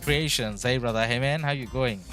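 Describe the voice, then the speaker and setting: A man's voice: medium pitch, normal speed. A man hosting a live game stream, chatting casually, hedging his views and answering his viewers. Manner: relaxed, hedging, reads chat aloud. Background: background music.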